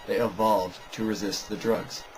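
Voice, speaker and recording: A man's voice: fairly low pitch, steady tone, moderate pace, soft background music. A man giving a scripted, argumentative rebuttal commentary in a sarcastic, dismissive way. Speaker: video narrator rebutting another video.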